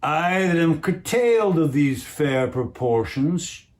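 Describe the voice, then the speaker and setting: A man's voice: on the high side, lively intonation, slow. A man acting a bitter, scheming, misshapen royal villain in a brooding, theatrical Shakespearean stage monologue. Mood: bitter, seething.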